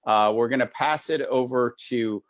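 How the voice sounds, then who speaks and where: A man moderating a podcast in a halting, filler-heavy way, speaking off the cuff. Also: medium pitch, no echo. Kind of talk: unscripted podcast introduction.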